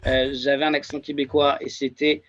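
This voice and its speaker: A man's voice: medium pitch, little pitch movement, moderate pace, a bit bright. A man in his thirties with a French accent, talking as an interview guest and hesitating often with fillers and restarts. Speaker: guest, research and development engineer. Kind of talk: casual interview talk. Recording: dull lo-fi sound.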